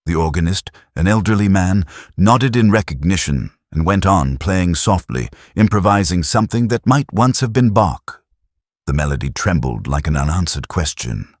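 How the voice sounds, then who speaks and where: A man playing a weary, melancholy middle-aged detective, narrating his story in a measured, reflective, literary way. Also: deep voice, lively intonation, no room sound, studio quality. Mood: pensive. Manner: measured, brooding, literary delivery. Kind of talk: scripted first-person detective story narration.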